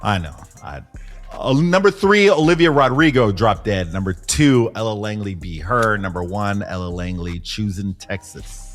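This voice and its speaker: A man's voice: fairly low pitch, animated, moderate pace, soft background music. A man hosting a podcast in casual, slangy style with a joking manner. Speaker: podcast host, DJ. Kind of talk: casual group chat, reading music charts.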